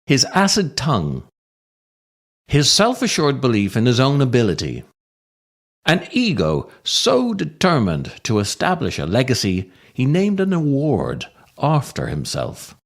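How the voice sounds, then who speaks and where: A man reading his own scripted comic writing as a podcast host, theatrical and wry, with deliberate dramatic pauses. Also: mid-range voice, wildly swooping pitch.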